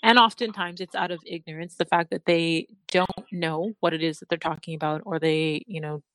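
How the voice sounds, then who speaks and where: A woman hosting a casual interview, relaxed and laughing often. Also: lowish voice, a little fast, dry recording, low-quality recording. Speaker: host.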